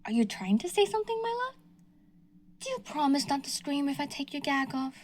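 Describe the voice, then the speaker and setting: Woman voicing a delusional yandere stalker, fairly high, sugary menace with hushed whispers. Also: sing-song, brisk, noisy background. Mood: taunting. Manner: sweetly menacing, slips into whispers. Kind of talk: audio roleplay.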